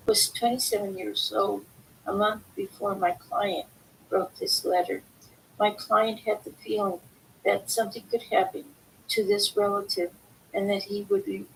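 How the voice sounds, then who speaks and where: Female book reader, mid-pitched and creaky, halting delivery, light noise and rumble on the recording. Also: rough recording. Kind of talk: slow read-aloud of book excerpts. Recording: faint background noise, low rumble.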